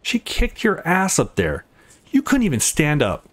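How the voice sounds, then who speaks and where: A man's voice: a little high, huge pitch swings, a little slow, pitched above the performer's own voice, exaggerated voice. Man reading as earnest young game hero. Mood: indignant.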